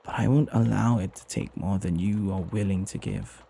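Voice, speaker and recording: Breathy, lovestruck butler, acted by a man. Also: lowish voice, moderate pace, warm tone. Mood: wistful, tender. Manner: tender, hesitant, breathy, often sinking into whispers. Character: flirty lovestruck male butler, devoted and deferential. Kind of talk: intimate ASMR roleplay acting.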